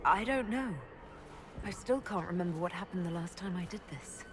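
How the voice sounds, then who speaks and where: A woman's voice: fairly low pitch, animated, normal speed, background noise, natural voice. A woman playing an earnest, uncertain heroic leader in a video game, speaking a little hesitantly. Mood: uncertain, amazed. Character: earnest, uncertain female hero leading an inquisition. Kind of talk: dramatic video game dialogue. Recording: game audio with loud background ambience.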